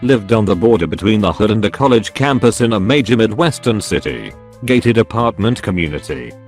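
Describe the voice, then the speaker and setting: Male narrator, low-pitched, even read-aloud delivery, faint music bed underneath. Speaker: narrator reading online posts. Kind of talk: scripted narration. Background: soft background music.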